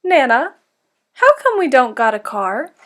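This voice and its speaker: A woman's voice: sits high, very animated, unhurried, fairly bright tone, full character voice. A woman voicing a young storybook boy who is plaintive and full of questions.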